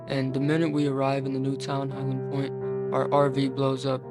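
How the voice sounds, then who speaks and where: A man's voice: mid-range voice, monotone, normal speed. A nineteen-year-old man narrating a scripted video about video game lore. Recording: music behind the voice. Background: plucked guitar music.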